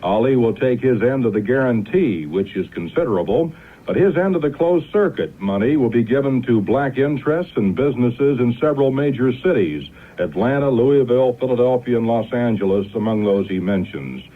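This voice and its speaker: A man reads network sports news with a crisp, slightly wry delivery. The sound is thin and tinny, like a 1970s radio broadcast. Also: mid-range voice, animated, normal speed. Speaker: network newscaster.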